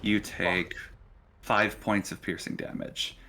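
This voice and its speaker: Low, bright-voiced man running a game. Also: steady tone, takes it slowly. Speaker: game master. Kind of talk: narrating a tabletop role-playing game. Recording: faint background music.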